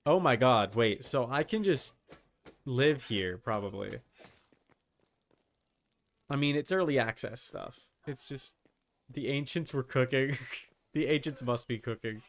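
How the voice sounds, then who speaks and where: A man's voice: mid-range voice, measured pace, long pauses. A man giving casual livestream commentary on a game, thinking aloud in slangy language with some swearing. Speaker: livestream host.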